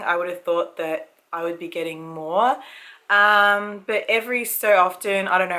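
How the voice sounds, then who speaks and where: A woman in her thirties with an Australian accent, a little croaky from a cold, chatting casually to camera about her pregnancy with many ums and likes. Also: mid-range voice, pushed and bright. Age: 30s. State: recovering from a cold, slight cough. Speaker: vlogger. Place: family home.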